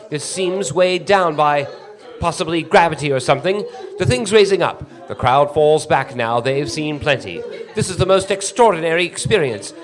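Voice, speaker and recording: Male, high voice, actor playing an awestruck, frightened field reporter in 1930s-style radio drama, breathless and dramatic. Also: close to an everyday voice. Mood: horrified fascination. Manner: breathless, urgent, dramatic eyewitness narration.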